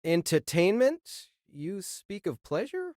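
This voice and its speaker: A man's voice: high voice, wildly swooping pitch, takes it slowly, a little mellow, no room sound, studio quality, exaggerated voice. A man playing a stern, self-controlled clergyman in an audio drama, formal, grave and literal-minded. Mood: puzzled. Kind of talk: audio drama character acting.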